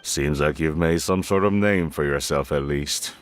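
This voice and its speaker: A man's voice: extremely low pitch, moderate pace, slight background noise. An actor playing a stern, reserved older male tea merchant in a video game, speaking in a terse, cool way. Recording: game audio with faint music behind.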